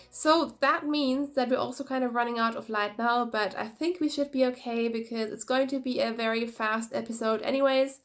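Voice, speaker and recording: Chatty young woman with a head cold. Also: a little high. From Germany. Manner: quick, energetic, chatty. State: head cold, slightly sniffly. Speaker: knitting podcast host. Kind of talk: casual chat to camera. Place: small room indoors. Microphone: phone camera at arm's length, no external mic.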